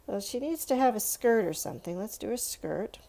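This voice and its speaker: Woman, children's art teacher: low, very mellow voice with some gravel, informal, encouraging, talks through each step. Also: expressive, brisk. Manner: encouraging, thinking aloud, addressing young viewers with "let's" and check-ins. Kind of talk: step-by-step drawing lesson, informal and conversational.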